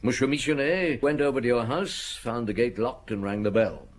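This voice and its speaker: An actor playing a seasoned French police chief inspector in a radio drama, patient and dogged, speaking in a measured, probing, official way with thoughtful hums. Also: male voice, medium pitch, close to an everyday voice. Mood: probing, methodical. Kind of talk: radio drama acting, reminiscing and interrogating.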